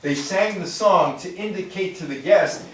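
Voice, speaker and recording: A man's voice: high voice, moderate pace, a bit bright, a little hiss or hum. An older American man giving an informal lecture on music history to a live audience. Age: older, grandfather. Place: indoor room before a live audience. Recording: very echoey room, distant microphone.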